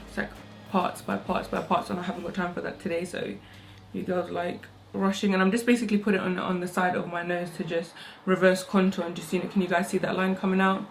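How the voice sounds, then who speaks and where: A young woman, doing a rushed get-ready-with-me makeup tutorial at home on her own mic. She talks fast and chatty, and her voice still sounds sleepy. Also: on the low side. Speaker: makeup vlogger. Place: home, indoors. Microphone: own microphone.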